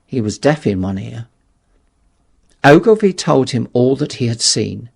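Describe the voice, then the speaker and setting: A man narrates a novel as a practised storyteller. His voice has a pronounced gravelly fry and a husky edge, and he delivers the prose in a measured, dramatic way. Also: medium pitch, very animated. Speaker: audiobook narrator. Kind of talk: scripted literary narration.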